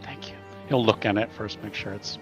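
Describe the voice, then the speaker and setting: A man's voice: on the low side, little pitch movement, brisk, over music. A man casually narrating his character's actions as a player in a tabletop game.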